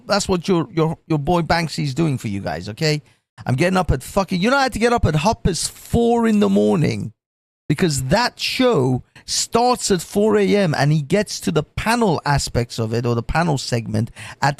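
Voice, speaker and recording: A man hosting a livestream, with a croaky voice, swearing and rambling with many restarts. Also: a little high, no room sound. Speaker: livestream host. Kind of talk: casual livestream chat.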